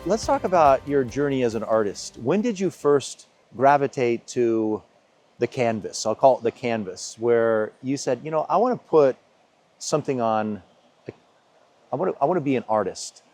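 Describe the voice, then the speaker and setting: American male host, relaxed interview chat, medium pitch, faint background music. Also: normal speed. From United States. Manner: friendly, leading questions, talks over answers. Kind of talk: interview conversation.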